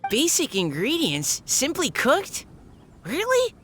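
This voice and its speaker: An eager, lively young adventurer. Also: female voice, sits high, slow pace, a little hiss or hum, full character voice. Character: eager young woman adventurer who cooks for her party. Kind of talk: video game cutscene voice acting.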